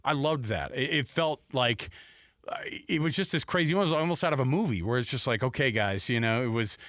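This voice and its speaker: A man co-hosting a sports talk show, chatting casually in a rambling, excitable way and acting out quotes. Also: mid-range voice, a little fast, no room sound, studio quality.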